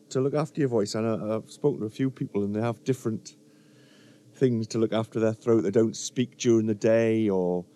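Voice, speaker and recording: A male interviewer asks questions in a relaxed, conversational way. His voice is somewhat low and mellow. He drops in frequent short acknowledgements while his guest talks. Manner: relaxed, many short backchannels. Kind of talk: casual interview.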